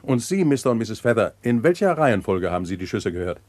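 An actor playing a shrewd, courteous police inspector questioning witnesses in a German crime radio play, methodical and polite. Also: male voice, lowish voice, sing-song, quick pace, a little mellow, no room sound. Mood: probing.

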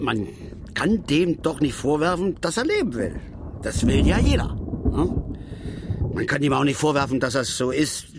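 An actor playing a brooding, fearful old angler, a stubborn patriarch who rambles and insists in a naturalistic radio drama. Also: male voice, medium pitch, vocal fry, background noise. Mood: grumbling, reflective. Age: older. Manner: rambling, insistent, self-repeating.

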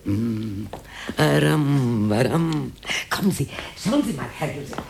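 A woman's voice: very deep voice, slow pace, vocal fry, slight background noise, exaggerated voice. An actress playing a coquettish, wistful older woman in a radio drama. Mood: playful, coaxing. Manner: animated, humming and coaxing. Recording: roomy radio drama with music behind.

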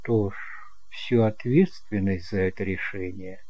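Man playing a stern gentleman, slow and formal. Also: sits low, fairly even intonation, dry recording, voice pushed down.

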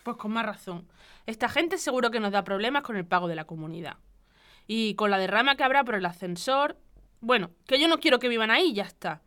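An actress with a Spanish accent playing a fearful, defensive wife and neighbour in an audio drama. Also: female voice, a little high, lively intonation, fairly quick, a bit bright. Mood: anxious, defensive. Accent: Spanish from Spain.

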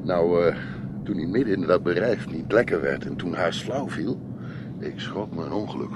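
An actor in a Dutch radio play playing a loyal, deferential chauffeur who chats plainly and searches for words. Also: male voice, low-pitched, moderate pace, lo-fi sound, noisy background, close to an everyday voice. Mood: deferential, friendly.

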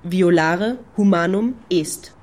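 An actress playing a high-flown club activist in a radio play, grandiose and ironically lofty. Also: female voice, slow pace, darkish tone. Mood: ironic. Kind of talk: German radio play acting.

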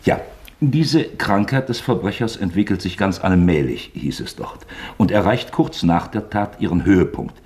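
An actor playing a sly, falsely genial investigator in a German radio play, mock-forgetful and probing with creaky politeness. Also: male voice, deep voice, lively intonation, normal speed, darkish tone. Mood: feigned geniality, probing.

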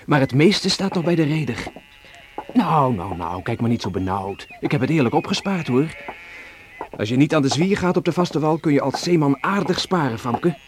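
An actor playing a proud, teasing young sailor who has come home, in a Dutch radio play. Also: male voice, on the high side, lively intonation, moderate pace, natural voice. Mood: cheerful, proud. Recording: noisy old radio drama recording.

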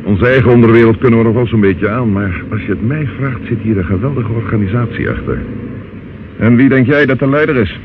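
An actor playing a determined police detective in a radio play, matter-of-fact and questioning. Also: male voice, medium pitch, brisk, natural voice. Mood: pondering. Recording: muffled, noisy old radio recording.

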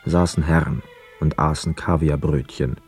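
Deep, mellow, sober narrator. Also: male voice, fairly even intonation, moderate pace, breathy edge, faint music behind, heavily acted. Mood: observant. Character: sober, observant first-person narrator, hotel guest. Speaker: narrator and actor. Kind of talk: literary radio drama narration.

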